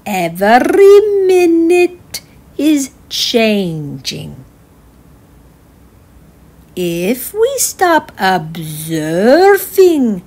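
An eccentric woman fortune-teller, acted out by a woman, delivers her oracular forecast in a high voice that swings widely in pitch. She goes extremely slowly, with halting, drawn-out, repeated phrases. She stays serene and solemnly whimsical throughout. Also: a little mellow, full character voice. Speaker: astrologer giving a forecast. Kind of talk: slow theatrical spoken forecast.

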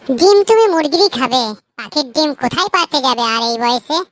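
A performer voicing a cheeky young nephew in a cartoon comedy, shrill and childlike, glib and theatrically mock-earnest. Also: animated, fairly quick, fairly bright tone, slight background noise. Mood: mock-earnest advising. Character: cheeky young nephew teasing his old uncle.

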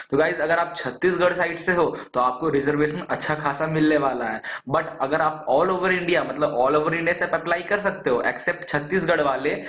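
A man's voice: a little high, a bit bright. An Indian-accented man with a cold hosts a job alert video, explaining casually and chattily, addressing viewers as friends. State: has a cold, coughing and throat clearing. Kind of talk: casual explainer over screen recording. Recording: echoey room.

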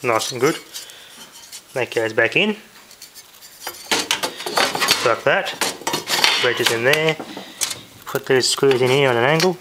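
Aussie guy muttering through a diy fix, medium pitch, noisy camera mic with clicks. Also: slow, long pauses. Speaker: DIY vlogger. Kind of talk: casual hands-on repair commentary. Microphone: handheld camera's built-in mic.

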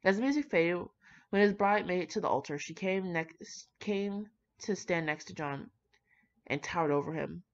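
A woman's voice: lowish voice, expressive, moderate pace, highs cut off. A young woman reading fan fiction aloud, with brash, slangy, profane casual commentary.

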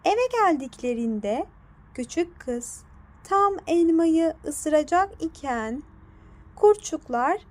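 A woman storyteller with a strikingly high voice reads a children's bedtime tale. Her delivery is very slow and deliberate, addressed to young listeners. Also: expressive. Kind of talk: scripted bedtime story narration.

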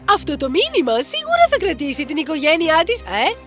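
Woman acting a fussy older lady scientist, falsely sweet, calculating, swooping pitch, cartoon, music behind. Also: wildly swooping pitch, fairly bright tone, heavily acted. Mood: smug. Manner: calculating, coaxing. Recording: music under the voice.

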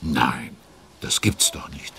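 A man's voice: rumbling low, steady tone, takes it slowly, creaky, bright, forceful tone, a little hiss or hum, exaggerated voice. A man playing a chatty, curious narrator in a children's radio play. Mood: lively, confiding. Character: chatty, curious male storyteller commenting on the action.

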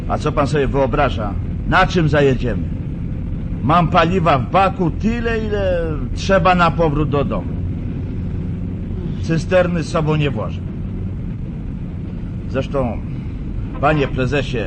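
Protekcjonalny inżynier za kierownicą, bardzo żywa intonacja. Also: male voice, a little high. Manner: condescending, explanatory, deliberate. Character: male engineer driving at night, patronizing, stubbornly reasonable. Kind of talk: radio drama acting. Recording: car engine and road noise. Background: moving car.

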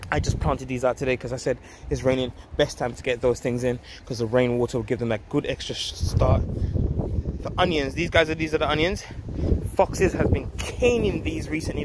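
A man in his thirties giving a casual, enthusiastic update to viewers, speaking emphatically into a handheld phone outdoors. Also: medium pitch. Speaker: vlog host, allotment gardener. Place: outdoors on an allotment garden. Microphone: handheld phone at close range. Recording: outdoor ambient and traffic noise. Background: outdoor ambience, vehicle noise.

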